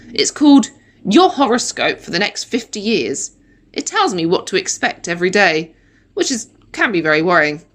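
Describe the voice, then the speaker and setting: Animated woman reading a children's audiobook. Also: on the low side. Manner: animated storytelling with dramatic emphasis. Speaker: primary school teacher reading an audiobook. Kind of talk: expressive children's audiobook narration in first person.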